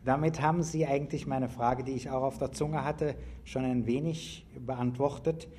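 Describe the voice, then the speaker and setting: A man's voice: medium pitch, fairly even intonation, normal speed, dark tone. A man putting formal, polite questions to a guest as an interviewer. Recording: noticeable background noise, old interview recording.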